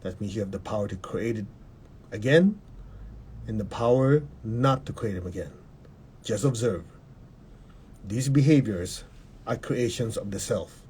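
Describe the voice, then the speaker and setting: A man leading a guided meditation in a calm, measured way, repeating short instructions and having the listener repeat affirmations. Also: lowish voice, a little hiss or hum.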